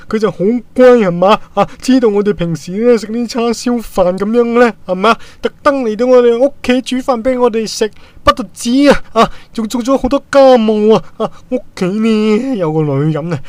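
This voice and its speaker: Male, high voice, Hong Kong Cantonese actor as a doting middle-aged dad, halting with "ah" fillers, dry radio drama sound. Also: a little mellow, no room sound, lightly acted. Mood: pleased, chatty. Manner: halting, starting phrases with drawn "ah" fillers. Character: doting middle-aged working-class father, gently supportive. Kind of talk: Hobby radio drama acting.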